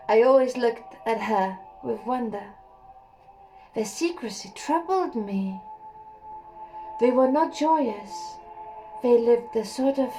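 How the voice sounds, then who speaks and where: A young woman reading a literary story aloud in a slow, hushed, measured way, with an occasional slip. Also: on the high side, poor audio. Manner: slow, hushed and measured, with a few slips and laughs. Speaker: audiobook reader.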